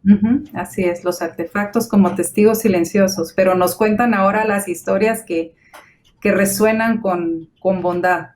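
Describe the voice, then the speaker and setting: Woman panel guest, reflective and measured, mid-pitched. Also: steady tone, darkish tone. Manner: reflective, with repeated restarts. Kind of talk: talk-show discussion.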